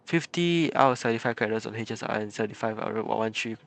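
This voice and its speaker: A man's voice: lowish voice, rapid-fire, gravelly, fairly bright tone. Stammering man streaming a video game. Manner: stammering, repeats words, giggly. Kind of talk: live gameplay commentary. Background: video game music.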